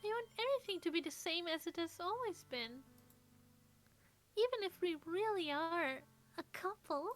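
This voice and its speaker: A woman voicing a shy, sad teenage anime girl in love in a visual novel, with a tender, hesitant delivery. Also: very high voice.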